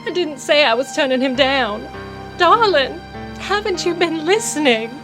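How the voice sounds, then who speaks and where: A tycoon's torn but determined daughter in an audio soap. Her voice is fairly high, earnest and exasperated, with soft music behind. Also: lively intonation, a little slow, poor audio. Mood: resolute. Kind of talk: audio drama acting.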